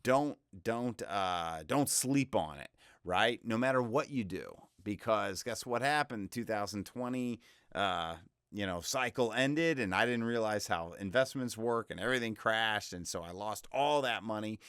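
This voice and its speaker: A young man with a deep voice giving a casual, rambling screen-share tutorial on freelance selling, often interrupting himself with upbeat advice. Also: expressive, unhurried, a bit bright, clear, resonant tone, no room sound, studio quality. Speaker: voice-over freelancer teaching online selling.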